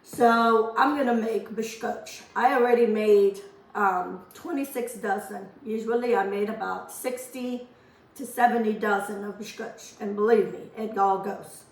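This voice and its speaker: A hoarse woman, chatting homely and rambling as she demonstrates a recipe in her home kitchen. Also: mid-range voice, fairly even intonation, measured pace, recorded from a distance. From Azores. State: hoarse from a lingering cough. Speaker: cooking channel host. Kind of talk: casual cooking demonstration. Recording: roomy kitchen, voice off-mic.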